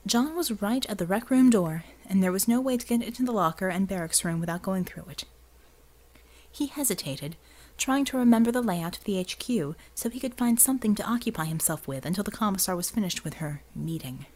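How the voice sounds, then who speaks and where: Woman reading an audiobook story, scripted narration, medium pitch. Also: expressive, fairly quick, a little mellow.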